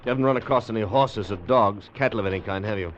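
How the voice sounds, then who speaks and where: An actor playing a wary, analytical gentleman scientist with clipped delivery in a 1950s radio drama. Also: fairly low pitch, fast talker, close to an everyday voice. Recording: muffled, noisy old radio recording.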